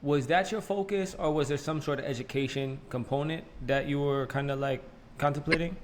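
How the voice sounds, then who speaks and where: A man's voice: on the high side, brisk, background noise. A man hosting a casual interview, asking friendly, easygoing questions with small hesitations. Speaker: interview host.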